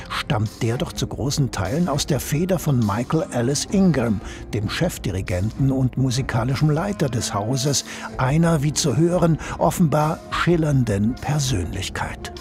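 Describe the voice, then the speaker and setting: A man reading a scripted radio report on a ballet premiere in a measured, formal way. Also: medium pitch.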